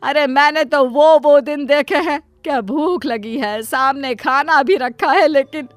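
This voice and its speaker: A woman's voice: sits high, measured pace, no room sound, faint music behind, voice pushed up. A woman voicing a self-sacrificing middle-aged mother in a cartoon story, who wails and pleads and makes excuses for her daughter. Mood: indulgent. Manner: wailing, pleading, indulgent toward her daughter.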